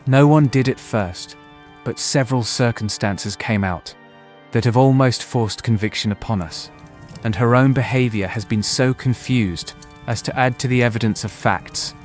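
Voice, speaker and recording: An actor playing a distressed young brother in a dramatized audiobook, earnest and formal in manner. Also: male voice, lowish voice, unhurried, faint music behind, natural voice. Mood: sombre.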